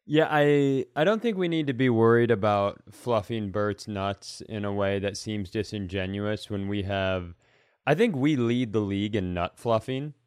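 A man's voice: lowish voice, normal speed, darkish tone, clear, resonant tone, no room sound, clean studio sound. A man bantering casually as a podcast co-host, joking and teasing in a crude way.

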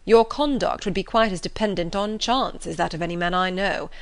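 A woman reads a proud, reserved young gentleman in an audiobook, a little lower and quieter than her narration. He speaks in cool, measured sentences with a close, dry sound. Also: no room sound, close to an everyday voice.

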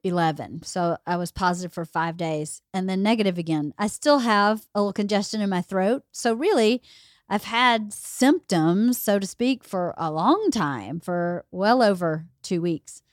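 A woman hosts a podcast and chats casually, rambling from one point to the next. Her voice is slightly hoarse, as if she is losing it, and it sits at an ordinary middle pitch. She is recorded close on a podcast mic with a dry, dead sound. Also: measured pace, dry recording. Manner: chatty, rambling storyteller. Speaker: podcast host. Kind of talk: casual chat.

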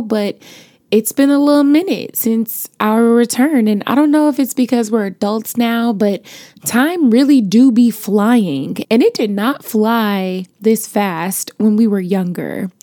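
A young adult woman hosting her podcast, chatty and playful, her voice raspy as it recovers from being lost, with features. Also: mid-range voice, dark tone, dry recording, clean studio sound. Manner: chatty, playful, sprinkles in laughs and slang. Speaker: podcast host. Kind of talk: casual motivational podcast talk.

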